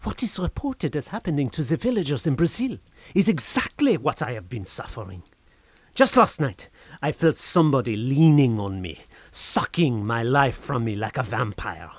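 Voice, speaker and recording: An actor playing a tormented, obsessive man in an audio horror drama, intense and theatrical, halting and then feverish. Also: mid-range voice, lively intonation, no room sound, lightly acted. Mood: feverish conviction. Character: tormented, obsessive Frenchman haunted by an invisible being.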